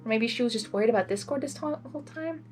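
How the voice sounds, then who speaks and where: A woman reads a story aloud and adds expressive, rambling casual reactions. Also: on the high side, racing, soft background music. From Hungary. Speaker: fan fiction reader and commentator. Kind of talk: blind reading with casual reaction commentary.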